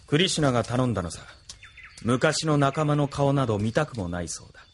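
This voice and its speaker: An actor voicing a cold, ambitious young officer who speaks in a cool, detached way, in a studio radio drama. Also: male voice, medium pitch, lively intonation, a little fast, a little hiss or hum, close to an everyday voice. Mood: smug. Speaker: military officer and pilot.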